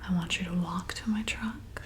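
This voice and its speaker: A woman playing a flirty, commanding vampire bartender in an ASMR roleplay, softly coaxing and hypnotizing in a breathy whisper. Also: fairly low pitch, steady tone, background noise, lightly acted. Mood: seductive, predatory. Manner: slow, soothing, coaxing, deliberate.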